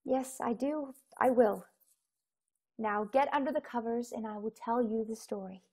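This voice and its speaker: A woman in her twenties acting a gentle mother, once a starship engineer, in a radio play over a video call. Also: on the high side, steady tone, moderate pace, husky. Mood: hushed, reluctant.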